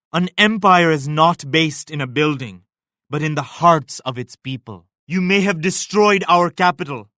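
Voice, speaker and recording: An actor playing a proud, pious Mughal emperor in a video game, making grave, measured and lofty pronouncements. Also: male voice, fairly high pitch, pushed and bright, no room sound, studio quality. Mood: defiant. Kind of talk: video game character voice lines, formal declamation.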